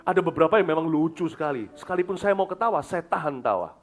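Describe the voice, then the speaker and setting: Middle-aged male pastor from Indonesia, lively high voice, emphatic preaching with quiet confiding drops. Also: quick pace. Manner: emphatic, swings from loud declarations to soft confiding; slips into English phrases. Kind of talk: sermon.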